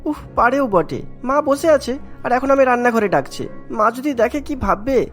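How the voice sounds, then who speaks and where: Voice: medium pitch, animated, brisk, a bit bright, faint music behind, natural voice. A performer voicing a mild, fretful young husband in a Bengali cartoon. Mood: embarrassed.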